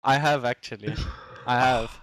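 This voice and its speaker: A man's voice: medium pitch, steady tone, moderate pace, fairly bright tone, dry recording, low-quality recording. A young man with a South African accent chatting casually as a podcast guest, in a hesitant, repetitive, slangy way. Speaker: podcast guest, esports player. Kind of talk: casual chat.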